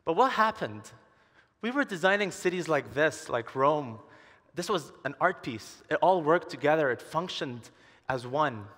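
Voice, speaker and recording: A man in his twenties delivers a prepared stage presentation. His voice sits somewhat higher than most men's. The sound carries the long reverb of a large hall, picked up on a headset mic. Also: expressive, unhurried, a bit bright. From Dubai. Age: 20s. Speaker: conference speaker, entrepreneur and urban designer.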